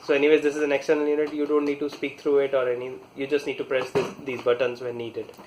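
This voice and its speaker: A man talking casually through a hands-on product installation, halting and pausing mid-sentence as he works. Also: medium pitch, completely flat delivery, darkish tone, a little hiss or hum, low-quality recording. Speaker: product reviewer demonstrating installation. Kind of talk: casual hands-on tutorial talk.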